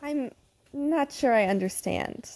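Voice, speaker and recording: A woman's voice: high voice, slow pace, a little mellow. A woman playing a prim, doting middle-aged mother in an audio drama, polite and sweetly probing. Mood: guarded, polite.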